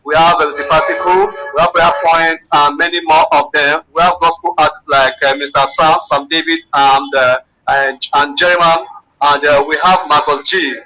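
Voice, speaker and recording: A man's voice: on the high side, fairly even intonation, moderate pace, pushed and bright, poor audio. A man with a Nigerian accent promoting an event as a radio guest, eagerly listing things and repeating himself. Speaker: event organiser, phone guest. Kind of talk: event promotion in a live interview.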